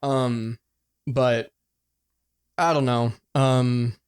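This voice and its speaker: A young man co-hosting a podcast in casual, excitable, sweary fan talk. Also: mid-range voice, extremely slow, smooth. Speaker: podcast co-host. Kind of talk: casual chat.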